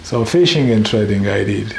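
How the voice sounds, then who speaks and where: Gravelly low-voiced man from Zanzibar. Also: expressive, darkish tone. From Zanzibar, Tanzania. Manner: halting, repeats words while searching for English. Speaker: interviewee. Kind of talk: documentary interview. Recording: echoey room with faint background noise.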